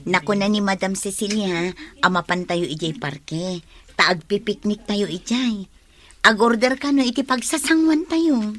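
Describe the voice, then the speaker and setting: A woman's voice: medium pitch, a little slow, natural voice. A woman with an Ilocano accent playing a kind, patient, prayerful wife in a radio drama. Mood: cheerful, urging.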